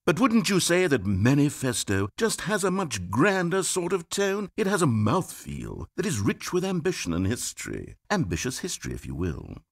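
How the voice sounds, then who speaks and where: An actor playing a pompous, self-absorbed game narrator who rambles in a grand, wordy way. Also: male voice, fairly high pitch, wildly swooping pitch, normal speed, vocal fry, hardly any pauses, heavily acted. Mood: musing. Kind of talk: scripted video game narration. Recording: dry studio voice-over.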